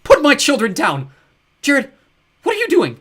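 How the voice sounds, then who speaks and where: A man's voice: very high voice, huge pitch swings, moderate pace, fairly bright tone, voice pushed up, full character voice. A man voicing a panicked mother calling out frantically. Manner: frantic, breathless calls.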